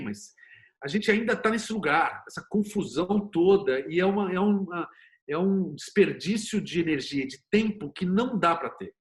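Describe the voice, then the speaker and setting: Brazilian man talking informally. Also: high voice. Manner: informal, colloquial, opinionated. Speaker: interview guest, series producer and screenwriter. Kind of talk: conversational interview talk with anecdotes.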